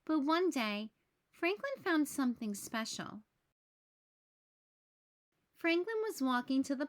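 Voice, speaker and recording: A woman narrates a children's storybook in a fairly high voice. She takes it very slowly, with measured, clearly phrased storytelling meant for young listeners. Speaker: teacher reading aloud.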